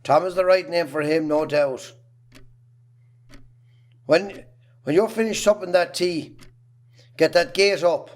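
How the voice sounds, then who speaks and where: A man playing a domineering farmer father in an amateur drama, with a rural Irish accent and a gruff, scolding manner. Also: on the high side, slow pace, long pauses. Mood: scornful. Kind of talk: amateur radio-style stage drama acting.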